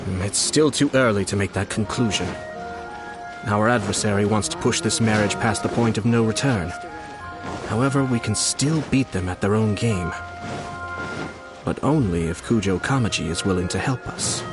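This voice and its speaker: A man acts as a shrewd, courteous young nobleman from a video game. His voice sits low and his speech is measured and formal. Faint music plays behind him. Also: natural voice. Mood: calm, calculating.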